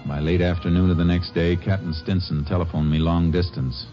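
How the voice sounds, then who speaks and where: A man playing a deep, steady lawman. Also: fairly quick, faint music behind, lightly acted. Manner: calm, firm, dryly sardonic. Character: steady, dry-witted male Texas Ranger. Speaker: narrator and lead character. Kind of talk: 1950s radio drama acting. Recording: muffled old radio broadcast.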